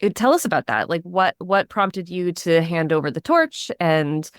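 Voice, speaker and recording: A woman's voice: fairly low pitch, very animated, moderate pace, dry recording, clean studio sound. An American woman hosting a podcast interview, chatty and enthusiastic. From United States. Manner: enthusiastic, many fillers and restarts. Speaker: podcast host. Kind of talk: casual interview.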